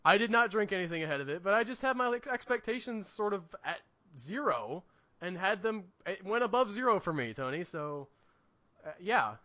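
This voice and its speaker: Male podcast co-host, high and bright voice, casual film chat, earnest rambling delivery. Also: very animated, moderate pace, lo-fi sound. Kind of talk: casual movie review chat.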